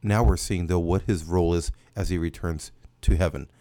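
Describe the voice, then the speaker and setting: Male co-host, very low voice, earnest and halting, dry mic. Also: steady tone, warm tone, no room sound. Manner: earnest, halting, repeats words. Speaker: podcast co-host. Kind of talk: casual Bible study discussion.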